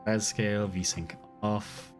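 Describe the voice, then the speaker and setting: A man's voice: fairly low pitch, steady tone, slow pace, soft background music. A man streaming a game live, chatting casually, swearing freely and laughing often.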